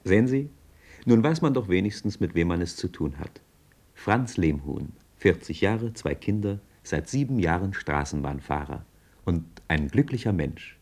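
An actor playing a curious, admiring passenger who narrates in a German radio play, chatty and confiding with the listener. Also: male voice, deep voice, lively intonation, normal speed, a little mellow.

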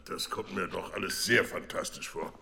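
An actor playing a lofty, impatient government minister in a radio drama, pompous and brusque. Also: male voice, low-pitched, moderate pace, vocal fry, long reverb tail, noisy background. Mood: lofty impatience.

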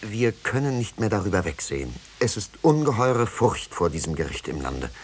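A man's voice: fairly low pitch, very animated, normal speed. An actor playing a conscience-stricken clergyman in a 1950s radio drama, formal, earnest and emphatic. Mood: worried, insistent. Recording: hissy old radio drama recording.

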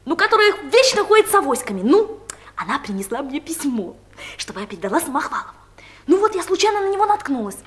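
A woman's voice: sits high, big pitch swings, quick pace. A woman playing a chatty, gossipy office secretary in a comedic audio drama. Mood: gossipy, excited. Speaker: actress in a radio play.

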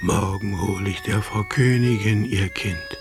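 An actor playing a simple-minded fool who chants scraps of fairy tales in a fragmented sing-song, in a radio drama. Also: male voice, on the low side, a little slow, vocal fry, music in the background. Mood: babbling.

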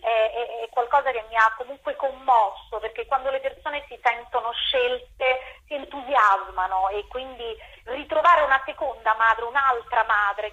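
A woman's voice: on the high side, sharp tone, dull audio. A woman theatre director answering interview questions, repeating words as she searches for phrasing.

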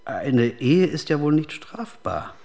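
A man's voice: on the high side, very animated, slow, gravelly, darkish tone, full character voice. Indignant, evasive male suspect. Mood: defiant. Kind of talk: German radio crime drama acting.

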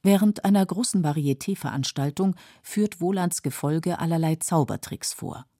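A woman narrating a scripted literary documentary in a measured, explanatory way, with a husky, creaky voice. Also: fairly low pitch, warm tone, dry recording, clean studio sound. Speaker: narrator of literary radio feature.

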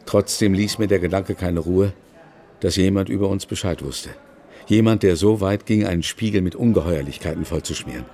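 A man playing an older, haunted novelist who confides in a measured way in a German radio drama. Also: sits low, mellow. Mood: wistful, nostalgic. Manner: measured, confessional storytelling.

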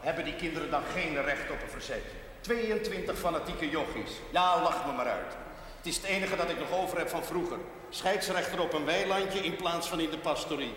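A man's voice: on the high side, a little fast, fairly bright tone, slight background noise, lightly acted. An actor playing a village parish priest who gives stern, fatherly instructions, in a 1970s TV drama. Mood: wistful. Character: parish priest refereeing a boys' football game.

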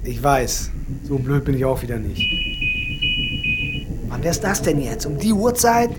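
A man's voice: mid-range voice, very animated, measured pace. A man playing a grumpy, bewildered police officer, colloquial and sarcastic, in a radio drama. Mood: irritated, sarcastic. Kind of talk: naturalistic radio drama acting. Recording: clear background noise.

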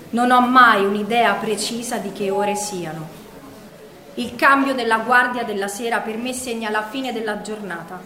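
An actress playing an imprisoned woman, weary, reflective and quietly defiant, in a measured theatrical monologue. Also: fairly high pitch, reverberant, a little hiss or hum. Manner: measured, literary, introspective delivery.

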